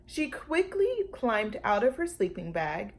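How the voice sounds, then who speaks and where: A woman reading a children's storybook aloud as a storytime teacher, expressive and speaking directly to young listeners. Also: medium pitch, measured pace.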